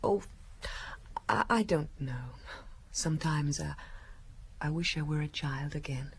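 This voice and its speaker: An actress playing a secretive, languid young woman in an audio drama, coquettish and timid, with hesitant pauses. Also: sits low, full character voice. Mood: wistful. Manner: hesitant, breathy pauses, coy.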